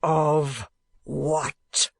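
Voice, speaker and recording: A stern, ruthless usurer speaks with harsh command, as a man voices him in an audiobook. He talks slowly and coldly. The sound is dry and close. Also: on the high side, steady tone, vocal fry, no echo, full character voice. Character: stern cold male usurer.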